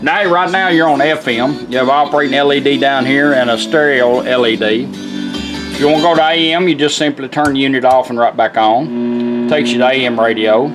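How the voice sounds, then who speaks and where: A man's voice: mid-range voice, moderate pace, over loud music, poor audio. A man giving an informal, folksy product demonstration, explaining how things work as he goes. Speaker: radio restorer demonstrating a product.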